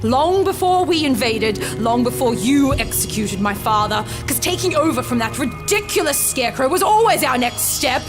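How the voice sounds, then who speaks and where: A woman's voice: high voice, sing-song, moderate pace, hardly any pauses, over music. An actress playing a bitter, vengeful female rebel leader in a fantasy audio drama, theatrical, taunting and sardonic. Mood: bitter, menacing. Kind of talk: full-cast fantasy audio drama acting.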